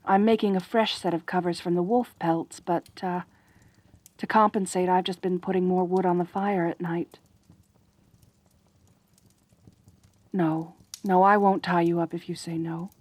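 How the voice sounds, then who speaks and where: A woman's voice: fairly low pitch, fairly even intonation, normal speed, darkish tone, no room sound, lightly acted. A woman voicing a lonely, possessive but caring centaur girl in a roleplay audio drama, speaking in a hesitant, stammering, earnest way. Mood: soft, tentative. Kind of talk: roleplay ASMR audio drama, intimate one-sided dialogue.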